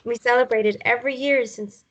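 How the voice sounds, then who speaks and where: A woman's voice: fairly high pitch, steady tone, normal speed, highs cut off, slight background noise, lightly acted. A woman playing a sad, lonely mother in a drama table read, hesitant and subdued. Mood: wistful, sad. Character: sad, lonely mother, quietly in love with a widower.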